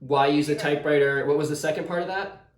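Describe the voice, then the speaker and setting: A man's voice: fairly high pitch, steady tone, quick pace, crowd noise. A man speaking as a visiting author, casually and enthusiastically explaining things to schoolchildren over a video call. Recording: roomy, echoey video call.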